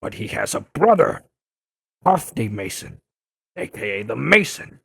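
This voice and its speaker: An actor playing a gruff, blustering older newspaperman in an audio drama, insistent and quick to insult. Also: fairly low pitch, sing-song, no room sound, clean studio sound, full character voice. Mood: serious, explaining. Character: gruff, combative older male newspaperman turned blogger. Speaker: investigative reporter interviewing a superhero. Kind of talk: audio drama dub acting.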